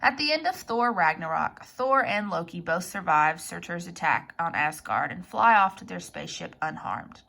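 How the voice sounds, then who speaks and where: A woman reads an article aloud in a bright, crisp-edged voice that sits a little low and frays into creak. Her delivery is informal, like an unpolished read-through, with stumbles she corrects as she goes. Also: lively intonation, normal speed, hardly any pauses. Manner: informal, stumbles over words and corrects herself. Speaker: reader of an article.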